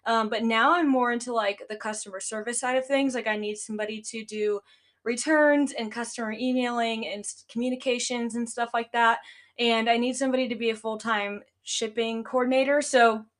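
A woman's voice: a little high, fairly even intonation, brisk. A young woman hosting her own podcast, talking casually about running a business, with a stuffy, nasal sound and lots of "like" and "um" fillers. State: congested, nasal. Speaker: podcast host, business owner. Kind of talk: casual solo podcast talk.

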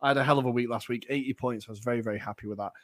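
A young man hosting a casual football podcast, chatty and jokey with lots of ums, his voice a little raspy. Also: mid-range voice, fairly even intonation, racing, a bit bright. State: slightly raspy voice. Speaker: podcast host.

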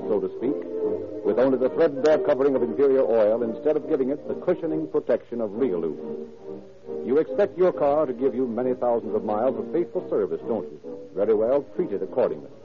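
An American male radio announcer in the emphatic, scripted 1930s manner. His mid-pitched, polished voice comes through a dull old recording with music behind it. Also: animated, fairly quick.

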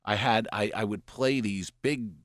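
Deep gravelly older man, dry studio mic. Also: a little slow, dry recording. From Pennsylvania. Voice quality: gravelly from scotch and cigarettes. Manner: relaxed storyteller, explains with asides and "you know". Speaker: radio program director, guest. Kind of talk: casual interview storytelling.

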